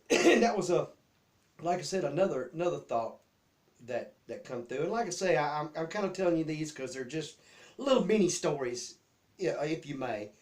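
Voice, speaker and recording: Southern US man, middle-aged, slightly higher-pitched voice, folksy and rambling, informal storytelling with repeated words. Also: expressive, moderate pace. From Memphis, Tennessee area. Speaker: host telling childhood memories. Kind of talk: casual personal storytelling.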